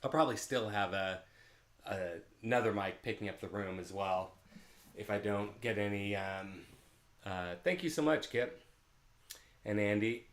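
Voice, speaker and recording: A man's voice: fairly low pitch, unhurried, pauses often. A man hosting a live music stream, chatting easily and rambling with his viewers between songs, picked up by a mic a few feet away. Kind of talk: casual chat between songs. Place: home room in California. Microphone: open-air mic three or four feet away. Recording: roomy echo from a distant open mic.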